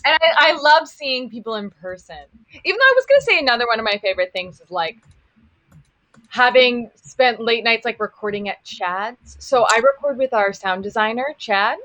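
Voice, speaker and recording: A woman's voice: on the high side, lively intonation, normal speed, sharp tone, dry recording. A woman who works as a voice actor, chatting casually in loose, informal phrases. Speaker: voice actor on the show. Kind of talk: casual chat.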